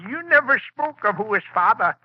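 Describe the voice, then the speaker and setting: High-pitched nosy New villager. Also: male voice, sing-song, moderate pace, breathy edge, dry recording, heavily acted. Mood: prying. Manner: prying, folksy rustic talk. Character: nosy male village lounger. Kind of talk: old-time radio drama acting. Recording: muffled vintage radio recording.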